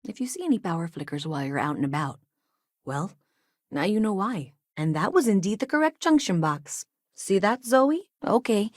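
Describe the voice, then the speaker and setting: A woman's voice: mid-range voice, big pitch swings, moderate pace, no room sound, studio quality. An actress playing an anxious, earnest, chatty woman engineer in a sci-fi game, conversational and hesitant with wry asides. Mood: wry, frustrated. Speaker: video game character. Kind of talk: naturalistic video game dialogue acting.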